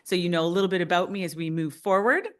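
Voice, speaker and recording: A woman's voice: on the low side, fast. A woman in her fifties from Canada, presenting a webinar as a realtor in an upbeat, enthusiastic way.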